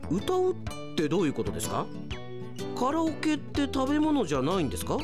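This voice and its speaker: A man's voice: high voice, huge pitch swings, quick pace, over music. A man acting in a radio drama as a proud, naive, hot-headed alien investigator, speaking in an earnest, melodramatic way. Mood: puzzled.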